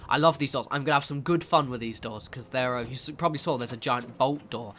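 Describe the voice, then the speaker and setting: A man with a head cold gives a casual voice-over for a building tutorial. His voice sounds nasal and stuffed up, his pitch sits in the middle, and he rambles and restarts his sentences. A faint ambient hum runs underneath. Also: fast talker, sharp tone. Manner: rambling, chatty, self-correcting. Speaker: game video creator.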